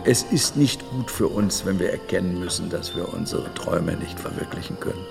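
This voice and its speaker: A man's voice: deep voice, creaky, big-room sound, music in the background. An actor playing an older, reflective adventurer and butterfly collector in a radio drama, musing and telling his tale dramatically. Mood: philosophical. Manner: musing, dramatic storytelling with pauses and interjections. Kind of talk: radio drama monologue, storytelling.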